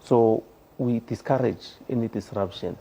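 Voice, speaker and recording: Gravelly South African man, hesitant. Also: mid-range voice, a little slow, warm tone, rough recording. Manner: earnest, hesitant, many fillers. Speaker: studio guest. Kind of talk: panel discussion.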